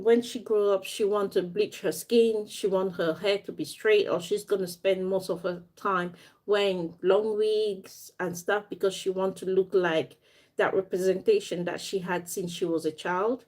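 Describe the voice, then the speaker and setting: A young woman from Africa talks on a live stream in a loose, rambling way. She breaks off now and then to thank viewers. Her voice sits at an ordinary middle pitch for a woman. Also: fairly even intonation, measured pace, darkish tone. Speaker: doll maker and fashion designer.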